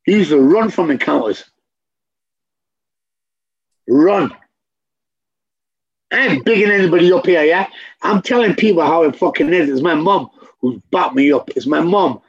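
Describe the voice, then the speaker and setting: Brief: man, interview guest, blunt and profane, keeps repeating himself for emphasis; raised gravelly tone on a dull lo-fi recording. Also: on the high side, expressive, moderate pace, long pauses. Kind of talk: candid conversation.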